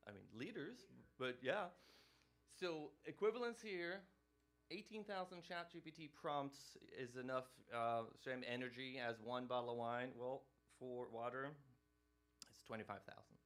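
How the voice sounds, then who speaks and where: A man from Norway speaks as he lectures a live audience. His voice sits in an ordinary middle pitch. He talks casually, tosses questions to the room and ends many points with "right?". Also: moderate pace, fairly bright tone. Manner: conversational, keeps asking "right?" and questioning the audience.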